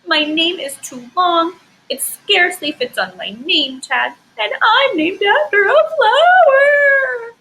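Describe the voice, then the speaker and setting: A woman voicing a sad little girl from a storybook who was teased, speaking slowly and tearfully. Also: very high voice, lively intonation, sharp tone, pitched above the performer's own voice, full character voice, rough recording. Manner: slow, tearful, crying.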